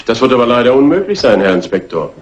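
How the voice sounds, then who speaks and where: A man's voice: mid-range voice, sing-song, quick pace, lightly acted. A man playing an agitated hotel owner in a crime drama, protesting and complaining. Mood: indignant, flustered. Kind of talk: German crime film dub acting. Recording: dull, lo-fi old film soundtrack.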